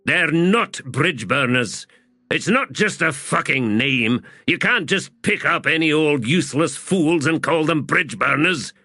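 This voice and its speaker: A male narrator plays a weary soldier from a fantasy story. He is gruff and hot-tempered, speaking in terse, anguished bursts with big pitch swings and a forceful edge. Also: sing-song, slow pace, no echo, clean studio sound, full character voice. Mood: anguished pleading.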